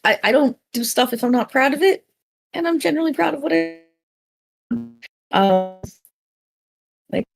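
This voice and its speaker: A woman chatting casually as a podcast panelist, halting and repeating her words in a self-effacing way. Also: high voice, lively intonation, gravelly, soft background music. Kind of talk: casual chat.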